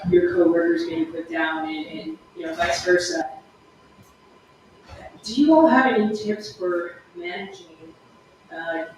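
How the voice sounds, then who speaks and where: A moderator on a panel speaking in an animated, gently emphatic way. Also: mid-range voice. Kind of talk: panel discussion. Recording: distant, echoey room, background noise.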